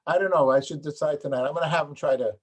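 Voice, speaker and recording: A man interviewing a guest in a casual phone chat, rambling and joking as he thinks out loud. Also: on the high side, racing, husky. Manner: chatty, rambling, jokey. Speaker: interviewer, host of peace concert show.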